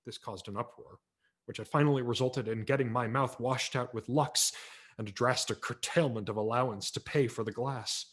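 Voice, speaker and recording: A man narrating a humorous story aloud in a wry, expressive reading style for a virtual event. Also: mid-range voice, moderate pace. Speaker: audiobook narrator. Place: home setup for a virtual event.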